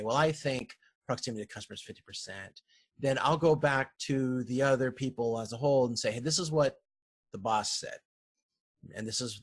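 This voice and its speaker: A man lecturing informally over slides, explaining in a halting way and often correcting himself. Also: mid-range voice, breathy edge. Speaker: university lecturer.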